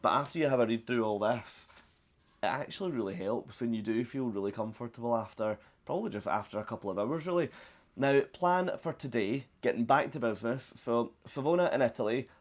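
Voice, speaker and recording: A man's voice: medium pitch, lively intonation, a little fast. Young british guy vlogging on a ship balcony, chatty and upbeat, lots of ums. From Britain. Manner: chatty, upbeat, rambling with fillers. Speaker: travel vlogger. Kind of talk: casual vlog to camera. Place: cruise ship cabin balcony.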